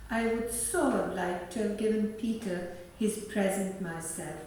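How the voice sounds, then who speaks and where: A woman playing a kindly, sentimental married neighbour in a radio play, speaking gently and with open affection. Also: mid-range voice, natural voice. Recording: roomy, distant, faint background noise.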